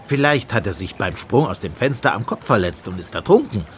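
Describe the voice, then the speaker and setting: An actor playing a sceptical, sarcastic police inspector with a gruff, commanding manner in a German radio play. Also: male voice, fairly low pitch, sing-song, fairly quick, slight background noise. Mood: businesslike.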